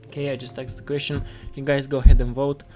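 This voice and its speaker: A man from Romania hosting a casual live coding tutorial, halting and repeating his words as he goes. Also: medium pitch, level tone, dark tone. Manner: halting, repeats words while reading chat. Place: indoors at a computer desk. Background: music playing, keyboard typing.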